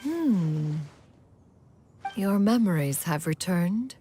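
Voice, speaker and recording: A woman voicing an aloof, stately ancient immortal in a video game, with formal, lofty, measured speech. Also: fairly low pitch, animated, dark tone, a little hiss or hum. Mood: grave, sympathetic. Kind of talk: dramatic video game dialogue.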